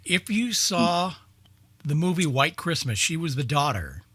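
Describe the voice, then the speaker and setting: A man with a slightly high voice chats casually in a group. He talks in a wry, informal way, and the sound is dry, without room echo. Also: big pitch swings, unhurried, a bit bright, husky.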